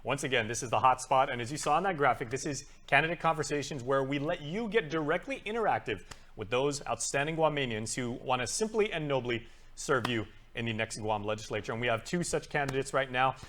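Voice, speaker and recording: A man's voice: mid-range voice, expressive, a little fast, a bit bright. An upbeat man hosts a live TV talk show and keeps the interview moving with casual, chatty asides. His voice sits in a middle register. He speaks from a studio. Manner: upbeat, chatty, lots of fillers. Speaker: TV show host.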